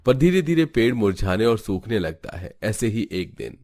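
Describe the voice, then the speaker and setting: A man's voice: on the low side, big pitch swings, moderate pace, a little mellow, slight background noise. A man narrating a scripted cartoon family story.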